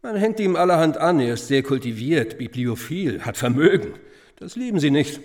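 Sardonic, gossipy schoolteacher. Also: male voice, on the high side, sing-song, moderate pace, darkish tone. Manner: ironic, chatty, conspiratorial. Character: gossipy, sardonic schoolteacher, cynical confirmed bachelor. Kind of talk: conversational radio drama acting.

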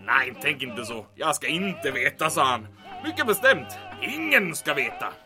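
An actor playing a vain, excitable German army major in an audio drama, pompous and gloating as he talks to himself. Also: mid-range voice, music in the background, lightly acted. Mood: awed, curious.

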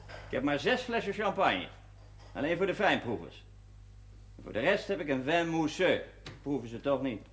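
Genial, bossy party-giving husband, 1970s TV drama, higher-pitched and very animated. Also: male voice, moderate pace, pauses often. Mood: busy, wry. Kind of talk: naturalistic 1970s television drama acting.

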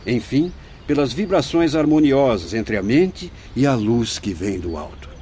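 A man with a Brazilian accent playing a wise, solemn spirit teacher in a radio drama, speaking in a measured, didactic way. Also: medium pitch, very animated, mellow, a little hiss or hum. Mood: solemn, instructive. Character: wise, solemn male spirit teacher addressing younger companions.

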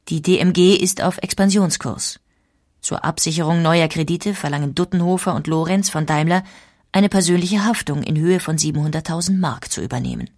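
A woman tells a historical story from a script, clear and composed. Her voice sits somewhat low for a woman and is recorded dry, with no room sound. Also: a little mellow, no room sound. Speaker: narrator. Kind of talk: scripted documentary narration.

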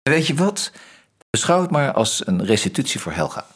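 An actor playing an awkward, formal, erudite man in a radio play, hesitant and husky. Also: mid-range voice, very animated, moderate pace. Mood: sheepish, formal.

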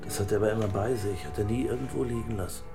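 An actor in a German radio drama playing a wry, offhand veteran police detective. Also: male voice, on the low side, racing, over music, close to an everyday voice.

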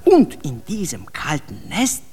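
A devout, severe woman in a radio drama, preaching a solemn lament. Her low voice swoops widely, from breathy hush to declamation. It rings in a long reverb. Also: wildly swooping pitch, a little slow, exaggerated voice. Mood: accusing, lamenting. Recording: long reverb with music behind.

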